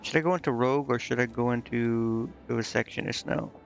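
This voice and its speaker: A man's voice: medium pitch, a little fast, vocal fry, muffled recording. A man streaming a game, muttering indecisively to himself in casual commentary as he thinks aloud. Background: game music.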